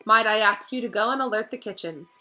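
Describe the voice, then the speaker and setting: Woman voicing old male wizard headmaster, soft-spoken, thoughtful, kindly, storybook reading, music underneath. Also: medium pitch, a little fast, lightly acted. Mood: wry, kindly. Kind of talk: audiobook-style character reading. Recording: music behind the voice.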